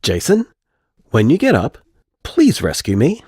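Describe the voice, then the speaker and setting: A man acting an excitable, anxious young matchmaker leaving chatty, dramatic voicemails in an audio drama. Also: medium pitch, a little slow, breathy edge, no room sound, clean studio sound, heavily acted. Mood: frantic pleading.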